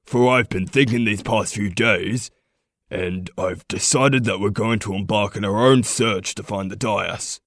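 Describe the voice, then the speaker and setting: Low male voice, actor as troubled fantasy pack leader, formal, earnest, commanding, dry sound. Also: measured pace, no echo. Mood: resolute. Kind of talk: fantasy audio drama acting.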